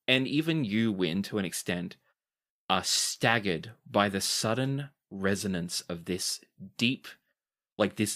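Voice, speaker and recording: A man with a low voice works as game master at a tabletop game. He improvises scene narration and calls for rolls in a conversational way. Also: fairly bright tone.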